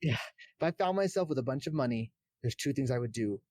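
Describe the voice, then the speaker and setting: A man's voice: medium pitch, expressive, brisk, darkish tone, no echo. A man talking casually about video games as a streamer guesting on a podcast.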